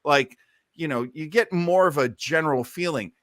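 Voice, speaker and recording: A middle-aged man hosting a radio listening show in casual panel chat, with an animated, theatrical and teasing manner. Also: on the high side, moderate pace, no echo, studio quality. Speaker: host of an old-time radio listening show.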